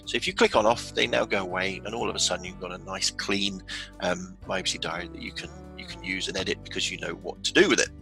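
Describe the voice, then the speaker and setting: A man's voice: sits low, steady tone, fast, gravelly, music in the background, low-quality recording. A man presenting an informal instructional screen walkthrough, explaining each step aloud in a conversational way. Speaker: software tutorial presenter.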